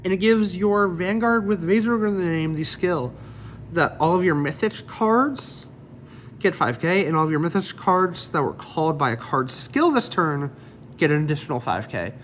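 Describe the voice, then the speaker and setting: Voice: medium pitch, animated, moderate pace, slight background noise. A card game deck reviewer with a slight cold, casually explaining card effects one by one.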